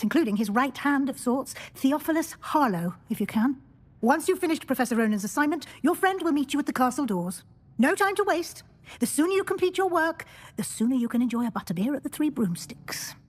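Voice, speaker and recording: Game role: brisk witch professor, fairly high voice, formal and authoritative. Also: expressive. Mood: brisk, stern. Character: stern, brisk older female wizard-school professor. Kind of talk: video game acting.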